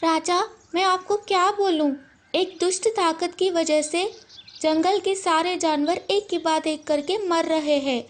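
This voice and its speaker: A woman's voice: very high voice, steady tone, a little slow, voice pushed up. A woman voicing a clever male rabbit in a children's story, speaking respectfully and pleadingly. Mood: worried. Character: clever rabbit reporting anxiously to his king. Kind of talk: animated children's story character.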